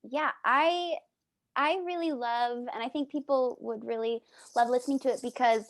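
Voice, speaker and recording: A woman's voice: fairly high pitch, normal speed. A woman chatting casually as a cast member in a group interview. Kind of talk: casual chat.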